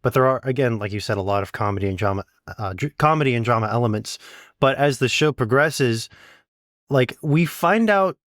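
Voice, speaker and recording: A man interviews in a casual, conversational style. His voice sits at an average pitch. The recording is dry and close, with very little room sound. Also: expressive, brisk, fairly bright tone, no room sound.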